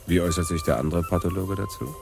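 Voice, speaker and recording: An actor playing an eager, sardonic police detective with a theatrical, teasing delivery in a radio crime play. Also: male voice, low voice, steady tone, fast talker, creaky. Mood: questioning. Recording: loud music behind the voice.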